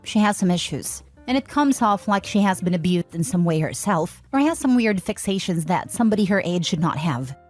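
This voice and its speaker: A woman reading forum posts aloud as scripted narration, in an even, steady way. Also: lowish voice, fairly quick, few pauses. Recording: faint background music.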